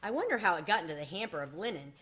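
A woman's voice: on the low side, very animated, rapid-fire, a bit bright. Lady reading as a wry old bellhop guy, good-natured storybook teasing. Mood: friendly, musing.

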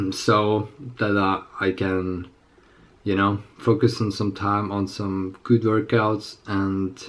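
A man in his twenties with a slightly raspy, under-the-weather voice, chatting casually to camera as a fitness vlogger in a halting, rambling way. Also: fairly low pitch. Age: 20s. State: slightly sick. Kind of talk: casual unscripted chat to camera. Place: small indoor room with plain walls.

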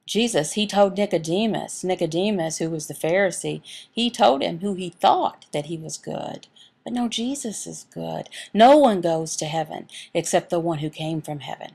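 A woman reading a sermon and scripture aloud as a voice interpreter, in measured, halting short phrases. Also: on the low side, gravelly, a little mellow.

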